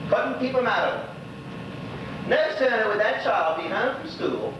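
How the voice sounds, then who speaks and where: An actor playing a lonely old widower in a stage monologue, chatting wistfully and rambling in a folksy Bermudian dialect. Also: male voice, high voice, expressive, normal speed, far from the mic. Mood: fond, amused. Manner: rambling, folksy, gossipy storytelling. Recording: echoey stage recording from afar, noisy, dull.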